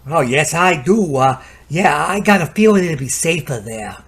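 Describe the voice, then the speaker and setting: A man playing a nervous, scheming, hapless fellow in an old-time radio comedy, halting and coaxing. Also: a little high, lively intonation, husky. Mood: nervous, eager.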